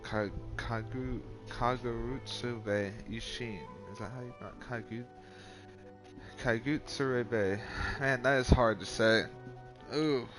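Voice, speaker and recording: Man, gamer commentary, very slow-paced, informal, self-muttering, clear background game music. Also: medium pitch, steady tone. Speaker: gaming video host. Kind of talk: casual let's play commentary.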